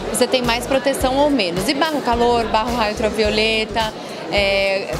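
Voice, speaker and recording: Loud music and a busy crowd surround a Brazilian woman in her thirties with a high voice. She explains products as an interviewee, chatting into a handheld mic and adding little tag questions. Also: fairly even intonation, moderate pace. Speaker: company representative being interviewed. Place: busy indoor trade fair stand. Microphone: interviewer's handheld microphone.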